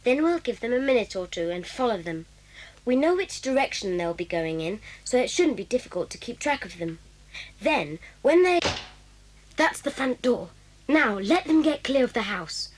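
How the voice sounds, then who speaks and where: A woman voicing an earnest, excited schoolboy in a radio play. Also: fairly high pitch, moderate pace, slight background noise, poor audio. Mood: eager. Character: eager, determined schoolboy.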